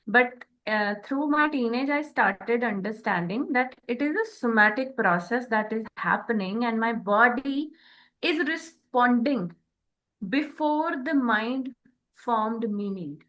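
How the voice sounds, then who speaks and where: A woman in reflective spiritual conversation, speaking in short phrases with frequent pauses. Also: on the high side, animated, fairly bright tone, low-quality recording. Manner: halting, pausing between short phrases. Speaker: podcast co-host.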